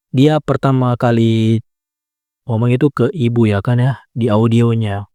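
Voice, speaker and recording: A man talks a viewer through an app tutorial in a casual, unhurried way. His voice is mellow and warm, and the recording is close and dry. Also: medium pitch, dry recording. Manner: relaxed step-by-step explaining, frequent "nah" and "ya kan" tags. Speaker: tutorial creator.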